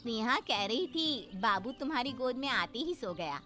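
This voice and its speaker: A woman voicing a friendly neighbour mother in an animated story, speaking in a familiar, coaxing way. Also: fairly high pitch, normal speed, faint music behind, voice pushed up. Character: friendly neighbour mother inviting a young bride over.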